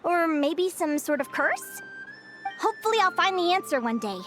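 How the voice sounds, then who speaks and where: Casting: sweet young creature scholar in an animated game, very high girlish voice, expressive, earnest, music behind. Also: moderate pace. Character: sweet, bright-eyed young female animal researcher, earnest. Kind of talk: animated video game voice acting. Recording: music under the voice.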